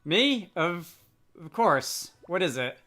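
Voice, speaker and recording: A man voicing a polite, thoughtful young princess from a game, with formal, gentle phrasing. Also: high voice, very animated, slow, faint music behind, exaggerated voice.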